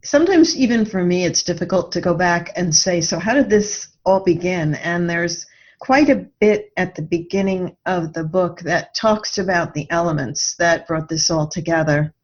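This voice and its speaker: Low-voiced woman reflecting in an interview. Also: moderate pace, highs cut off. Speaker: podcast guest, author. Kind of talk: conversational interview answers.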